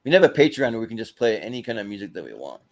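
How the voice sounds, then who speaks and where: A man's voice: fairly low pitch, very animated, racing, darkish tone. A man hosting a casual panel chat, speaking informally to the other participants. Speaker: host of panel show.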